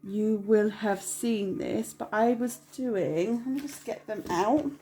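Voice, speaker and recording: A woman's voice: medium pitch, slow pace, mellow. Girl vlogging from home, bunged up with a cold, rambly and apologetic, loads of ums. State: congested with a cold, nasal. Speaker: budgeting vlogger. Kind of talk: casual chat to camera.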